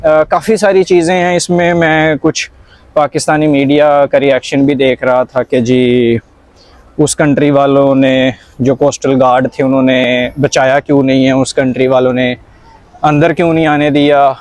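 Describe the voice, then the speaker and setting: A man's voice: mid-range voice, level tone, moderate pace, a little mellow, no echo. A young Pakistani man with a Punjabi accent hosting a casual conversational vlog, mixing Punjabi and English words into his talk. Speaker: vlog host.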